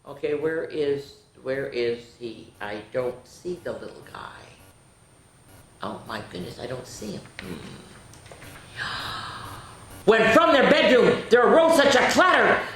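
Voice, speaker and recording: A woman's voice: fairly high pitch, a bit bright. A woman hosting a children's storytime, reading picture books aloud with playful, chatty asides to her young listeners. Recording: echoey, roomy sound.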